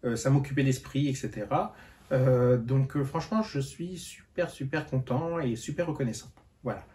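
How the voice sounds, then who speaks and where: A man's voice: mid-range voice, moderate pace, warm tone. A tired man chatting casually in a book vlog, hesitant and full of fillers and restarts. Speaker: book vlogger. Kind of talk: casual reading-update vlog.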